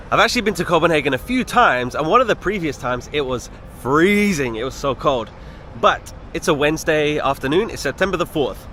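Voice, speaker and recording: A man's voice: medium pitch, fairly bright tone, a little hiss or hum. A man chatting casually to camera while walking through a city as a travel vlogger, with a British accent that listeners often mistake for American. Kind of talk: casual travel vlog narration. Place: outdoors in a city street.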